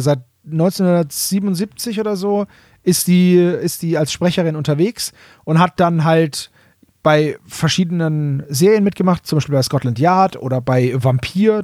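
A man's voice: on the high side, a little mellow, no echo, studio quality. A man co-hosting a podcast in casual, chatty conversation about radio plays. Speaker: podcast co-host. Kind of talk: casual chat about a radio play series.

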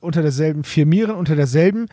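A man's voice: a little high, lively intonation, fast, warm tone, no room sound, studio quality. A man chatting casually as a podcast host.

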